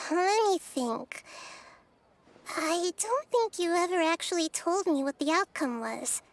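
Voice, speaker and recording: A woman voicing a gentle, thoughtful little girl goddess in a video game, with a girlish voice and calm manner. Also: very high-pitched, slow pace, heavily acted.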